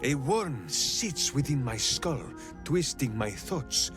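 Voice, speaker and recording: A man's voice: medium pitch, very animated, slow. A man playing a big-hearted, simple warrior ranger in a video game, bombastic and oddly formal. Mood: musing, earnest. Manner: bombastic, refers to himself in third person, oddly formal phrasing. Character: big-hearted, simple heroic ranger warrior who talks through his hamster. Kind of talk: theatrical video game character acting. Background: background music.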